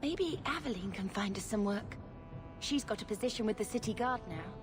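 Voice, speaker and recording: An earnest, practical young woman, voiced by an actress at a medium pitch. Loud game music plays under her. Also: lively intonation, moderate pace, close to an everyday voice. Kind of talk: video game voice acting.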